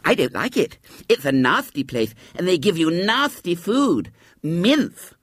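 A man acts out a small, spoilt storybook schoolgirl in a high, shrill, lisping voice. She is stubborn and demanding, and her pitch swoops insistently. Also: sing-song, a little slow, a bit bright, no echo, studio quality, full character voice. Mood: complaining.

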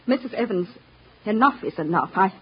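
Husky, emotional housekeeper. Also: female voice, mid-range voice, expressive, brisk. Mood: upset, resolute. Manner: emotional, indignant. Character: exasperated, protective housekeeper, mother of a small boy. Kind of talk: 1940s-50s radio acting. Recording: dull old radio broadcast recording.

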